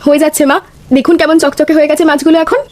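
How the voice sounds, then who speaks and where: A woman's voice: high-pitched, flat delivery, very fast, a little mellow, close to an everyday voice. A woman voicing an eager, helpful young daughter-in-law in a village cartoon, polite in manner. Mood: cheerful, helpful. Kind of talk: scripted cartoon dialogue.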